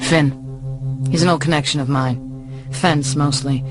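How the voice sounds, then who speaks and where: A tough, blunt streetwise mercenary. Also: female voice, extremely low pitch, steady tone, a little slow. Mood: cool, confiding. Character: tough, streetwise female mercenary with cool nerve. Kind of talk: radio drama acting. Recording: music and street noise behind.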